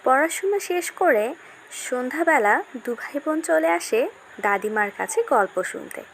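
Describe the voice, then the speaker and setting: A woman's voice: high-pitched, expressive, unhurried, a bit bright, a little hiss or hum. A woman storyteller giving scripted narration of a children's story.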